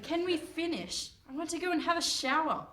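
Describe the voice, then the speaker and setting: A woman playing a brisk, impatient narrator in a comic radio play. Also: extremely high pitch, expressive, a bit bright. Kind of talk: comic scripted narration before live audience.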